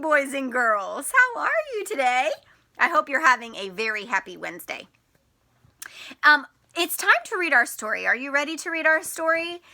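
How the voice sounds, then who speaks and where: A woman teacher reading a picture book to young children in an expressive, sing-song way, putting questions to them. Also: high-pitched. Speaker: teacher and storytime host.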